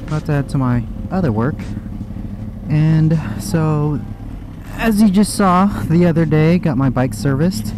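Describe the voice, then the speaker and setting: A man's voice: a little high, unhurried. Young American man, noisy helmet-mic vlog. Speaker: motorcycle vlogger. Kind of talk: casual vlog chat while riding. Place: outdoors, in a motorcycle helmet on the road. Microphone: microphone inside helmet. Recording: helmet mic with engine and street noise. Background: motorcycle engine and traffic.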